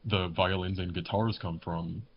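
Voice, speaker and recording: A man's voice: sits low, fairly even intonation, unhurried. A man co-hosting a casual podcast, talking modestly and self-critically about his own creative work. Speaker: podcast co-host, amateur music composer. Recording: dull mic with faint background noise.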